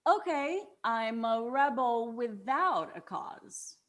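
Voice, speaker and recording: A woman's voice: a little high, expressive, slow, a bit bright. An actress playing a brash, rebellious twenty-one-year-old woman in a table read, flippant and defiant.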